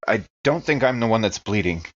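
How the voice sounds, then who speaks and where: A man's voice: lowish voice, animated, a little fast. A man casually narrating his character's actions in a tabletop roleplaying game, piecing them together hesitantly. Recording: slightly dull, lo-fi sound.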